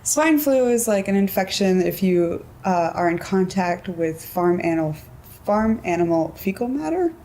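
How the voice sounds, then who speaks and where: A woman in her twenties from California, chatting casually in an interview, relaxed and giggly with lots of filler words and a low voice. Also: normal speed, slight background noise. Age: 20s.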